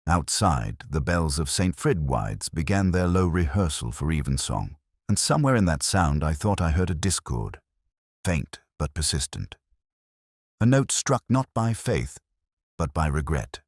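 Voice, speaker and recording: A man playing a weary, razor-minded police inspector, narrating a detective audio drama in a measured, reflective, literary way. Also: very deep voice, very animated, slightly rough, dry recording, clean studio sound, full character voice. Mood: brooding, measured.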